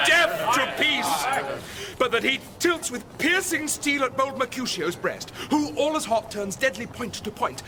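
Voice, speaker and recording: An agitated young gentleman of classical radio drama, his voice very high-pitched. He declaims verse urgently and loyally, with a crowd clearly heard behind him.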